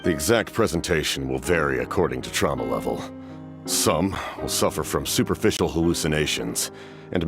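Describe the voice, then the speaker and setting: A man voicing a stern, composed warrior captain in a video game, speaking in a formal, clinical, measured way. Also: low-pitched. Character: stern, centuries-old imposing warrior captain, grave and composed. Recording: music behind the voice.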